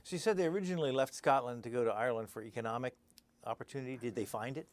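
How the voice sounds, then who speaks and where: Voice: medium pitch, very fast. An interviewer host asking short, direct questions and sometimes restarting a phrase.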